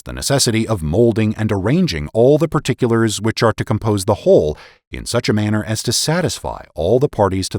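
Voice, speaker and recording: Male narrator for historical essays: low register, animated and emphatic oratorical delivery, dry recording. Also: dry recording. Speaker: podcast host and narrator. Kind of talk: dramatic reading of historical political essays.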